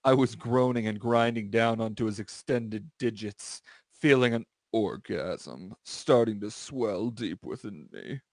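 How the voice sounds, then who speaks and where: A man's voice: on the low side, unhurried, darkish tone, no room sound. A man hosting a comedy podcast, reading a story aloud and breaking off into banter with friends.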